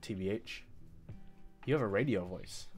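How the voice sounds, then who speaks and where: A man's voice: deep voice, faint music behind. A young man talking casually over a video call, stumbling and restarting his sentences. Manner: stuttering, halting restarts. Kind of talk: casual live-stream chat. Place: small room at home.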